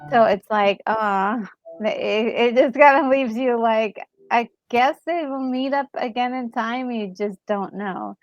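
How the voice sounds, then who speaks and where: A woman co-host giving casual reactions, halting as she searches for her words, on a slightly muffled recording. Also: fairly high pitch, no echo, faint music behind. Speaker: gaming co-host.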